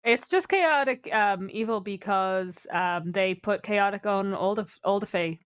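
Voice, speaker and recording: A woman's voice: medium pitch, a little slow, clear, resonant tone, no echo. A woman talking casually about folklore and games, opinionated and given to rambling asides.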